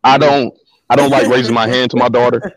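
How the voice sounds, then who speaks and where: A man with a deep voice, a guest on an interview, telling stories in a relaxed, slangy way with lots of repeated words. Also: fairly quick, no echo, rough recording. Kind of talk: casual storytelling interview.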